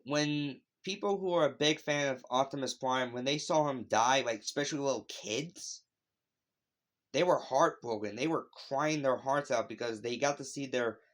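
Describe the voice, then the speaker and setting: A man hosting a casual, unscripted video review, talking in a rambling, conversational way that drifts into asides. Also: mid-range voice. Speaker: YouTube video host reviewing animated shows. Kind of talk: casual unscripted opinion vlog.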